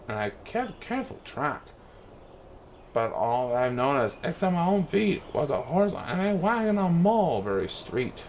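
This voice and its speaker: A man reading a rhyming children's storybook aloud in a theatrical, expressive way. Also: high voice, creaky, a little hiss or hum. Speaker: storybook reader.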